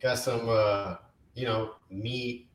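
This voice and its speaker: A man from Colombia, co-hosting a casual podcast, talking in a relaxed, rambling way. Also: medium pitch, slow, far from the mic, slight background noise. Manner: easygoing, rambling. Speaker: podcast co-host. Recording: roomy and off-mic.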